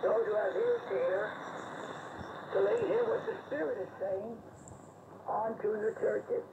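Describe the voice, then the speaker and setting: A man's voice: extremely high pitch, big pitch swings, normal speed, creaky, dull audio. An older man preaching an emphatic, declamatory sermon, heard through a shortwave radio. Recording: noisy shortwave radio broadcast.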